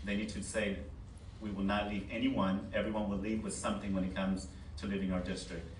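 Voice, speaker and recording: Man, forum candidate; lowish voice with little inflection, picked up from a distance. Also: flat delivery, brisk. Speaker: school board candidate at a forum. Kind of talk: unscripted public forum answer. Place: meeting board room. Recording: echoey board room, distant mic, background noise.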